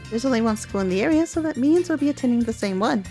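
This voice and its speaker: A woman voicing a bubbly, bossy, energetic young girl in a visual novel, chirpy and eager. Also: on the high side, fast talker, faint music behind, voice pushed up. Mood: bright, bossy.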